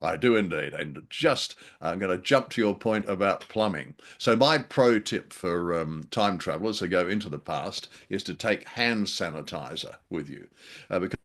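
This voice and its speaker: Man, lowish pitch, animated intonation, casual podcast co-host, jokey, rambling, hesitant. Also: moderate pace. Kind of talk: casual chat.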